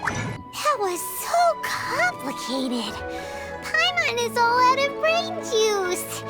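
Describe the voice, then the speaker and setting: A tiny, childlike game fairy with a very high voice. She is bubbly, eager and exclaiming, swinging widely in pitch. Loud music plays behind her. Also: big pitch swings, very slow, heavily acted. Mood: bubbly, inquisitive. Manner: refers to herself in third person, exclaiming.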